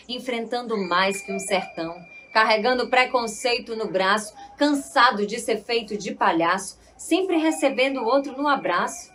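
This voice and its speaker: A woman's voice: a little high, a bit bright, slight background noise. A woman from Salvador with a Bahian Brazilian accent, speaking expressively like a stage performer as she declaims and tells stories. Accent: Bahian Brazilian Portuguese. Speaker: guest actress, writer and improviser. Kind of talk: declaimed poetry and personal storytelling.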